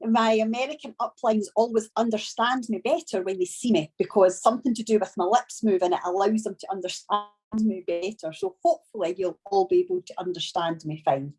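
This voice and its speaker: A woman from Scotland with a strong Scottish accent, giving a training talk on a video call and telling her story slowly and deliberately. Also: medium pitch, animated, darkish tone, slightly rough. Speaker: business trainer.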